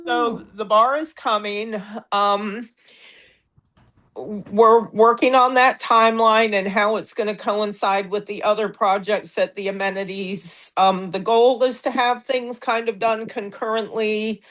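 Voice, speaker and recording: A woman's voice: mid-range voice, steady tone, measured pace, fairly bright tone. A woman with a slight head cold giving amenity updates at an online meeting from her phone. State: head cold, a little froggy. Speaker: amenity center manager. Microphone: phone on a video call.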